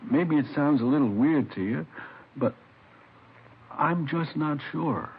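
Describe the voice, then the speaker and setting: Creaky, hesitant old grandfather. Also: fairly high pitch, lively intonation, slow pace. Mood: troubled, unsure. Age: older. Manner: hesitant, apologetic, uncertain. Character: troubled, hesitant elderly grandfather. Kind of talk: 1950s radio drama acting. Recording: muffled, thin, faintly hissy old radio recording.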